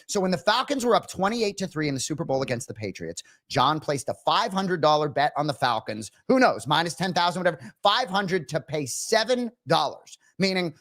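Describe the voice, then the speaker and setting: Animated, nasal man with a slight cold. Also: sits high. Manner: rambling, animated, peppered with "right?". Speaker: co-host. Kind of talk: casual sports radio chat.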